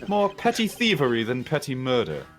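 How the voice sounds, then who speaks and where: A man playing a dry, sardonic prosecutor in a video game fan dub, speaking in a measured, formal, deadpan way. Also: mid-range voice, faint music behind. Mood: dry sarcasm.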